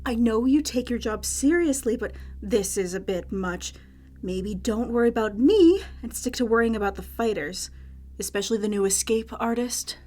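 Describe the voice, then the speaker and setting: A woman's voice: a little high, lively intonation, normal speed, dark tone. A woman acting in an audio drama as an irritable, guarded woman, snappy, sarcastic and dismissive. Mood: exasperated.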